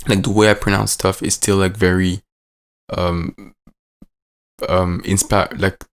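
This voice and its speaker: A man's voice: deep voice, flat delivery, a little slow. A man at the end of his teens with a French accent, a native French speaker, talking in a casual, rambling way with lots of fillers and restarts. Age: late teens. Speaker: vlogger. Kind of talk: casual reflective vlog.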